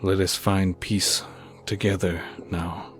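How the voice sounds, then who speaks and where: A man's voice: deep voice, little pitch movement, slow pace, faint music behind. A man playing a dying, obsessed old scientist in a horror audio drama, grave, weary and theatrical. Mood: dying, forgiving.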